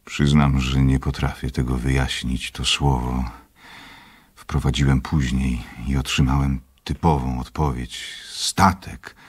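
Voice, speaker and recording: Very deep, husky scholarly doctor. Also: male voice, little pitch movement, measured pace, full character voice. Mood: analytical. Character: scholarly, secretive doctor obsessed with power. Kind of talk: Polish radio drama acting.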